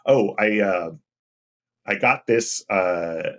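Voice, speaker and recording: A man with a deep voice talking casually and anecdotally as an interview guest. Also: slow pace, a bit bright. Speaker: podcast guest, game industry UX professional.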